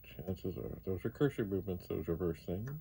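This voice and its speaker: A man's voice: sits low, moderate pace, vocal fry, mellow, husky. A man hosting a hobby video, giving casual, rambling commentary as he demonstrates, with musing asides to himself. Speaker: host of a retro computer video channel.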